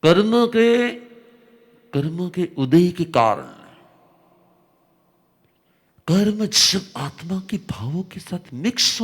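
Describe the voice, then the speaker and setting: A man teaching a religious discourse, his voice slightly hoarse, explaining slowly with repetition and emphatic rises. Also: on the high side. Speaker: Jain spiritual teacher.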